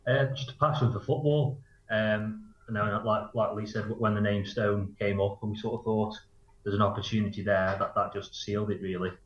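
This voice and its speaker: A man gives casual, matter-of-fact interview answers as a coach, feeling his way through phrases. His voice is fairly low, and the sound is clearly roomy and slightly dull. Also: fairly even intonation, normal speed, slight background noise. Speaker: football coach, interview guest.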